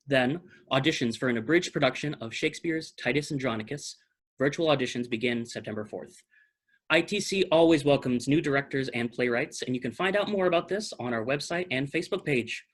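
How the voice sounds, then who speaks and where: A man giving scripted pre-show announcements as the announcer for a theatre company. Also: medium pitch.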